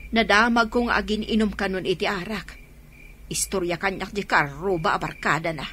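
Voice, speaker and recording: Actress as fretful, bright-voiced nagging mother. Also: medium pitch, normal speed, a little hiss or hum, natural voice. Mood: worried. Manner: fretful, complaining. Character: anxious, nagging mother worn down by her children. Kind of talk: radio drama acting.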